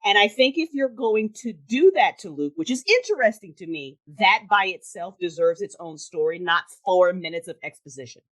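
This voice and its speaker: Animated, sweary woman with lively pitch. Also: moderate pace, few pauses. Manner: animated, emphatic, blunt and sweary. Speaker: guest, audio drama creator. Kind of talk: casual opinionated film chat.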